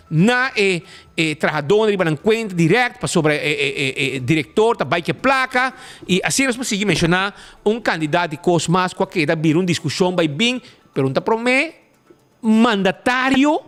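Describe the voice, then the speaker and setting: A man hosting a live show, announcing prizes and talking through a local dispute with animated, stumbling restarts. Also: high-pitched. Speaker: show host. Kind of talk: casual live show chat and commentary.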